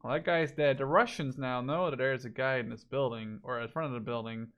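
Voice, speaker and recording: A man's voice: medium pitch, steady tone, fairly quick, clean tone, lo-fi sound. A man giving casual live commentary on a video game match, thinking aloud as he watches.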